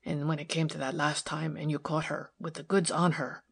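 A woman voicing a stolid, respectful working-class man in an audiobook, with deep tone and rustic, deferential phrasing. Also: flat delivery, moderate pace, breathy edge. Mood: solemn, grateful. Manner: rustic, ungrammatical, deferential speech.

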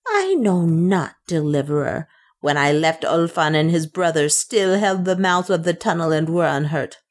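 A woman's voice: animated, normal speed. A woman voicing a wounded, half-mad, devoted servant woman who pleads and wails in an audiobook. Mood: weary report. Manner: shrill, wailing, pleading.

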